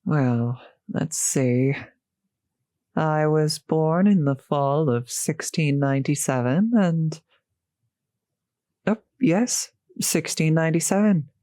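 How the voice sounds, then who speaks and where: A woman playing a courteous, gentle centuries-old vampire host in a roleplay audio drama, speaking politely and slightly formally to the listener, with soft hesitations. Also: deep voice, slow pace, no echo, studio quality. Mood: curious, warm. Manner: polite, softly hesitant, old-fashioned genteel phrasing.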